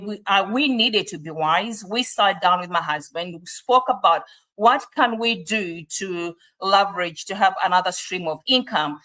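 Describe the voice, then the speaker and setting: Bright-voiced Malawian woman, emphatic storyteller. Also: fairly low pitch. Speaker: guest, business coach. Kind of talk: personal testimonial storytelling.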